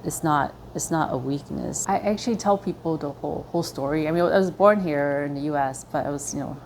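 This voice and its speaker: A woman with a notably deep, low-pitched voice answers interview questions. She speaks reflectively and often pauses to search for her words. Faint ambient noise sits quietly under her voice. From Singapore. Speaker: interview guest.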